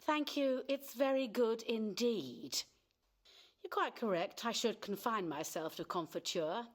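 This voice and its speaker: An actress playing a sensible, sharp-tongued middle-aged wife and mother in a radio play, brisk and chiding. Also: on the high side. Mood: gently ironic.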